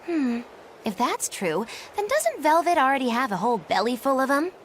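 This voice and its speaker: A woman's voice: sits high, big pitch swings, normal speed, slight background noise. A woman playing a smug, flamboyant young witch in a fantasy video game, speaking dramatically. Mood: smug, knowing. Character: theatrical, smug, gifted young female witch. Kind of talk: animated fantasy game acting.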